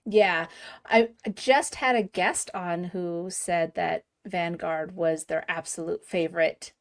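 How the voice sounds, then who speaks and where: A woman's voice: lowish voice, steady tone, slow pace. Bubbly woman podcast host. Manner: bubbly, laughs often, enthusiastic. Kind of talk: casual fan interview.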